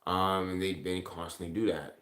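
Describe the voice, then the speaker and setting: A man's voice: sits low, fairly even intonation, normal speed, a bit bright. The sound is echoey, like a phone in a bare indoor room. A man talks casually to his livestream viewers. His delivery rambles and is thick with profanity. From Ontario, Canada. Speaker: live streamer. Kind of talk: casual livestream chat, replying to comments.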